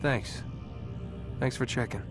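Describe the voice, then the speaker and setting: A man's voice: medium pitch, slow pace, music in the background. An actor playing a frustrated young man held captive, sardonic and exasperated, in a naturalistic video game cutscene. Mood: subdued.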